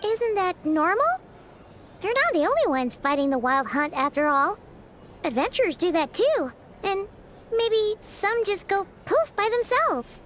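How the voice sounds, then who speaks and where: A woman voicing a small, curious and naive childlike fairy companion in a video game, with a squeaky voice. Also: sing-song, unhurried, fairly bright tone, a little hiss or hum, heavily acted. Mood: curious, puzzled. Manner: refers to self in third person, puzzled.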